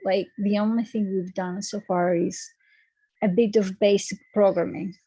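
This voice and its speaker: A woman lecturer with a Greek accent giving online class announcements, repeating words and filling pauses with um and okay. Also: medium pitch, fairly even intonation, mellow, husky, no room sound, poor audio. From Greece. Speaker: university lecturer. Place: recorded video call. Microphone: computer mic on a video call.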